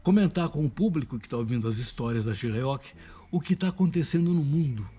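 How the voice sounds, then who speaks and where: A man's voice: medium pitch, expressive, brisk, mellow, slightly rough. A Brazilian man, a radio drama voice actor, talking casually and enthusiastically in a promotional interview. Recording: music behind the voice.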